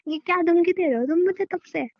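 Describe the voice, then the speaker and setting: A woman's voice: extremely high pitch, a little fast. A young woman playing a teasing, stubborn girlfriend in an acted phone conversation, insistent and often repeating herself. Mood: challenging. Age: young adult. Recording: dull, lo-fi phone call recording.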